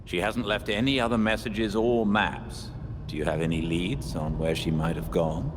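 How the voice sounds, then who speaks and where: A man playing a composed, formal older butler and confidant in a video game cutscene, speaking in a measured way. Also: low-pitched, little pitch movement, close to an everyday voice. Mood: calm, concerned. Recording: noisy ambience, long hall reverb.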